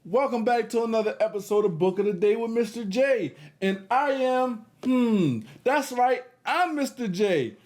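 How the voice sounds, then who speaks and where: A man hosting a read-aloud for young children in an animated style, encouraging them and adding chatty asides. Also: very high voice, moderate pace, hardly any pauses.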